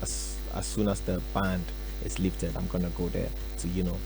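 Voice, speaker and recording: A young man from Ghana, a musician answering radio interview questions in a relaxed, soft-spoken way with many fillers. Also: low-pitched, moderate pace, vocal fry, music in the background, rough recording. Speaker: musician guest. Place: radio studio.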